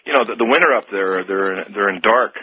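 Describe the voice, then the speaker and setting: A man's voice: lowish voice, quick pace, slight background noise. A man from Canada phoning a radio show, chatting casually in a slightly hesitant way. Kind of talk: casual chat. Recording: tinny phone line on radio.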